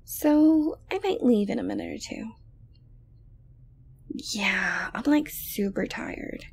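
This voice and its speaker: A woman playing an obsessive, dominant yandere girlfriend in an ASMR roleplay, creepily tender and condescendingly sweet, breathy and often close to a whisper. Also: medium pitch, lively intonation, slight background noise. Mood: tired, coaxing. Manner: slow, coaxing, condescendingly sweet. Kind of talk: ASMR roleplay monologue to the listener.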